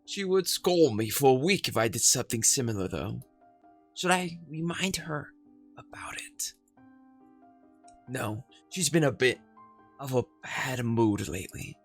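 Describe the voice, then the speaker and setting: Brief: male streamer, dramatic storytelling read, unhurried, soft music behind. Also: medium pitch, breathy edge. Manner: slow, dramatic storytelling reading. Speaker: gaming streamer. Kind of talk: reading a horror game's story aloud.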